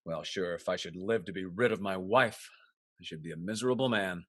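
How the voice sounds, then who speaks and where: A man's voice: sits low, brisk, a bit bright, dry recording, clean studio sound. A man acting a cynical, falsely fond husband in a Restoration comedy, with theatrical irony. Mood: wry, mock-despairing. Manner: theatrical, ironic.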